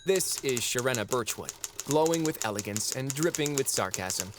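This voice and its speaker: A man's voice: medium pitch, lively intonation, normal speed, noisy background, lightly acted. An actor playing a wry, irreverent former god who narrates his own record in a chatty, sardonic audio drama style. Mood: amused, sardonic.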